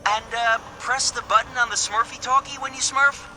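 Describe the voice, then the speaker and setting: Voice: mid-range voice, fairly even intonation, moderate pace, pushed and bright, a little hiss or hum. An actor voicing a practical, wry little cartoon creature in a video game, easily exasperated.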